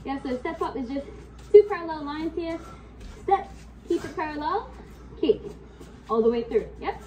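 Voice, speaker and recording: High-voiced woman coaching kicks, sniffly. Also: lively intonation, dark tone. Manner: coaching cues, counting time, brisk commands. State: sniffly from a cold. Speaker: fitness instructor. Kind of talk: live kickboxing class instruction. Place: home workout room. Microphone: far camera. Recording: distant camera mic in a room with faint noise.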